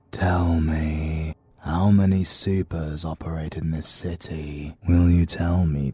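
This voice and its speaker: Very deep British male narrator, slow and gravelly, for a dark audiobook. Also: dry recording. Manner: slow, measured, menacing delivery.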